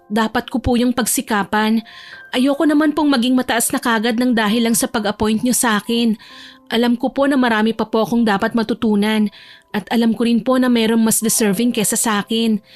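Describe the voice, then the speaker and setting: A woman's voice: on the high side, lightly acted. A woman voicing a humble, polite, principled young working woman in a Tagalog audio drama.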